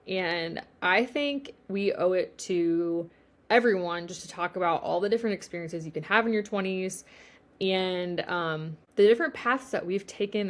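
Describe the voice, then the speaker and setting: A woman's voice: on the low side, a bit bright. A woman in her late twenties hosting a family podcast, chatty and steering the talk with plenty of fillers. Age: 20s. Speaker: podcast host.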